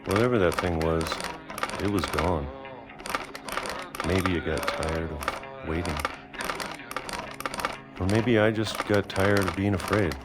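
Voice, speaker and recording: Weary middle-aged male recluse, low voice, slow contemplative delivery, video game monologue, loud music behind. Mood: wistful, resolved. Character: weary reclusive man, long hidden, quietly resigned. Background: prominent music.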